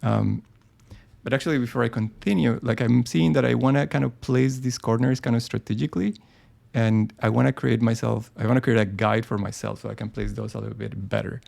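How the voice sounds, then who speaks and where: A man's voice: mid-range voice, steady tone, fairly quick, vocal fry, a little mellow. A man walking through a design tutorial live on screen, talking casually and thinking aloud, with frequent ums and restarts. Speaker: design software presenter.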